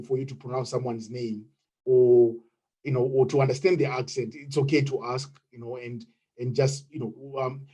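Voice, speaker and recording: A man with a Zimbabwean accent, speaking on a video call in an online meeting and pausing often with fillers and restarts. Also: mid-range voice, mellow, slightly rough. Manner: hesitant, many fillers and restarts. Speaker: webinar participant. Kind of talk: unscripted contribution in an online meeting.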